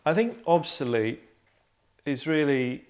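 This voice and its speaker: A man's voice: on the high side, expressive, slow pace, darkish tone. A man in his thirties talking casually and dryly in a group discussion, rambling as he goes, like a writer hosting a chat. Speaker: writer and host. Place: furnished indoor lounge.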